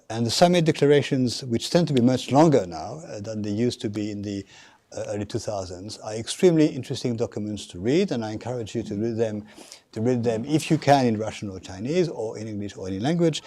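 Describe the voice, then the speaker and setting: Halting male lecturer, mellow voice. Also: mid-range voice. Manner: halting, many fillers and restarts; formal, addresses audience directly. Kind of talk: university lecture.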